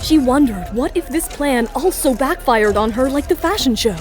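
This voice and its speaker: A woman's voice: high-pitched, expressive, normal speed. A woman narrating a scripted audio drama in a dramatic storytelling style, with music underneath. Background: background music.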